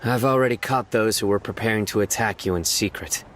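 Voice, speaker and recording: An actor voicing a stern, reserved young lawman in a video game, speaking in a solemn, measured way. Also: fairly low pitch, fairly bright tone, slight background noise, close to an everyday voice. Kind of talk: scripted video game character dialogue. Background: music.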